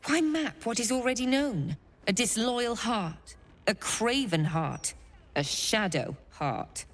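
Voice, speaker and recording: An actress playing a cold, imperious older high priestess of a cult in a video game, haughty, deliberate and menacing. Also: female voice, expressive. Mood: cold, probing. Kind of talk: dramatic video game acting.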